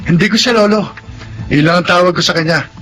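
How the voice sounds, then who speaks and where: A man's voice: high-pitched, fast talker, a bit bright, faint music behind. An actor playing a helpful, respectful young provincial man in a Filipino drama, speaking politely and earnestly. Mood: concerned. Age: young adult. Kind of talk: Filipino radio-style drama acting.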